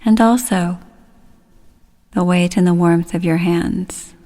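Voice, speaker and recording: A woman leading a guided relaxation, speaking soothingly and gently in short, unhurried phrases. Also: lowish voice, steady tone.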